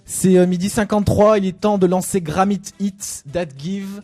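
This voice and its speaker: Chatty young male radio host, high voice. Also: normal speed, faint music behind. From Lyon, France. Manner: relaxed, chatty, hesitant with fillers. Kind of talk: casual student radio chat.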